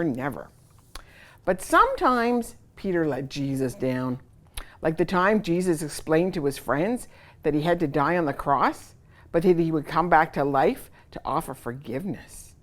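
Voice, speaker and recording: A woman hosting a children's story time, reading aloud expressively and putting questions to her young listeners. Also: low-pitched. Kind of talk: animated picture-book read-aloud for children.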